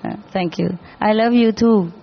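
Woman, spiritual teacher, slow, gentle, laughs often, muffled recording. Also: medium pitch, gravelly, slight background noise. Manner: gentle, laughs often, switches between languages. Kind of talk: casual chat with children at a gathering.

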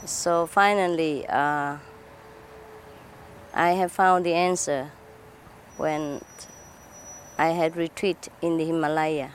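A woman giving interview answers as a spiritual teacher, speaking halting English with many fillers and small laughs. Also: deep voice, steady tone, slight background noise. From Vietnam.

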